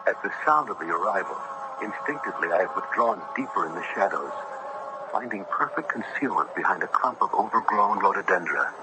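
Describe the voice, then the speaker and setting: An actor playing an earnest young judge who narrates in a literary, measured, suspenseful way for a gothic radio drama. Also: male voice, low-pitched, lively intonation, background noise. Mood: watchful, grave. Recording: vintage radio recording with music behind. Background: music.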